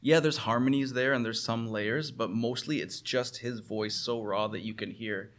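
A man's voice: mid-range voice, fairly even intonation, moderate pace, fairly bright tone. A man in a casual conversation about music, talking as a guest musician, earnest and enthusiastic, often rephrasing as he goes.

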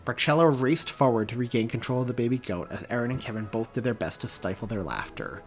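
A man, the author, reading his own story aloud in a steady, even audiobook narration. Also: mid-range voice, fast talker, soft background music.

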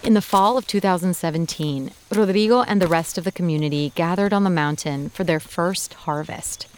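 A woman hosting a podcast, reading a scripted story in a measured, clear storytelling voice. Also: on the low side, a little hiss or hum. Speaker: podcast host and narrator. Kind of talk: scripted narration.

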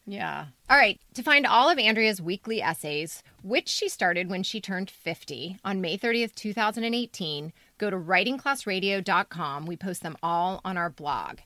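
A woman's voice: mid-range voice, expressive, normal speed, few pauses, sharp tone, dry recording. A woman co-hosting a podcast, moving between casual chat and scripted announcements to listeners. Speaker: podcast co-host and editor. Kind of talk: casual co-host chat and scripted show outro.

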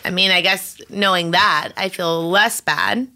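A woman's voice: lowish voice, unhurried, sharp tone. A woman playing a bird handler in an improvised comedy sketch, indignant and pleading.

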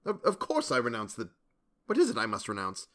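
Young military cousin , voiced by a man: higher, light tone, kindly, bemused, soothing, humouring, gothic audiobook character. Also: wildly swooping pitch, fairly quick, sharp tone, exaggerated voice. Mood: soothing, confused.

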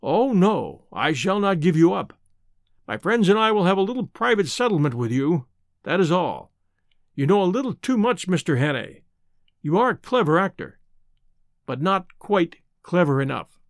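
A man voicing a calm old villain who speaks with smooth assurance. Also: high voice, normal speed, no echo, clean studio sound. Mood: calm menace. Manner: silky, assured. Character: old male villain, calm, smiling, menacing.

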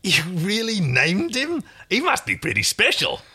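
A man's voice: high voice, wildly swooping pitch, unhurried, sharp tone, husky, pitched above the performer's own voice, full character voice. A man voicing a brash, lewd alien saleswoman who haggles pushily and flirts with customers. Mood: curious, teasing. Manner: flirty, pushy, calls people honey.

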